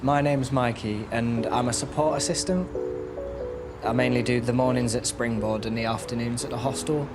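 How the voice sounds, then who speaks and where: A man's voice: mid-range voice, steady tone, normal speed, over loud music. A man, a support assistant speaking informally in an interview about his work.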